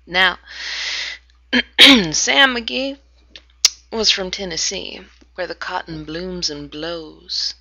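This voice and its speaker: A woman reading a narrative poem aloud, dramatic, with hushed whispered passages. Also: fairly low pitch, drawn-out words, pauses often, no echo, muffled recording. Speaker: amateur reader.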